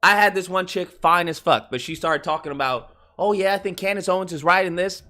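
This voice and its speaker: A man's voice: high-pitched, a little fast, noisy background. A man hosting a live call-in show, blunt and profane, mocking his callers.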